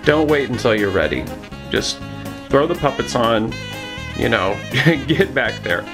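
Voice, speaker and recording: A man's voice: medium pitch, expressive, unhurried, music in the background. A man giving informal, encouraging instructional narration as a puppetry teacher, in a conversational way.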